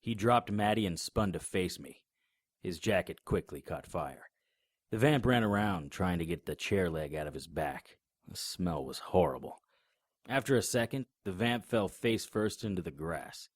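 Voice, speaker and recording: A man's voice: deep voice, expressive, normal speed, dry recording. A man narrating an audio drama in the first person, wry and matter-of-fact. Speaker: narrator and lead actor.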